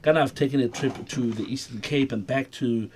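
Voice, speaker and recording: A South African man with a cold hosts a radio call-in show, his voice a little sniffly. He talks fast and chattily at an ordinary pitch, moving easily between callers. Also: a little mellow, slight background noise.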